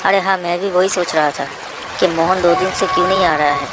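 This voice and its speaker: A woman plays a mild family-man boatman in a horror storybook. The talk is plain and conversational, in a register low for a woman. Noise is clearly audible behind. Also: fairly even intonation, fairly quick, close to an everyday voice. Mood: concerned. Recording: noisy track with water ambience.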